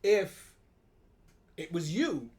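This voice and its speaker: A man's voice: on the high side, big pitch swings, extremely slow, darkish tone. A man co-hosting a book club livestream, debating casually, often interjecting and repeating words for emphasis.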